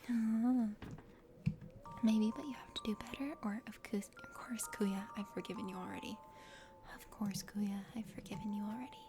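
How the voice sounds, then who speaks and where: A woman voicing a kind, earnest young aspiring knight in a breathy ASMR game reading. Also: medium pitch, steady tone, moderate pace, lightly acted. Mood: forgiving. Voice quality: whispery, breathy. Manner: gentle, sincere. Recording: soft music behind.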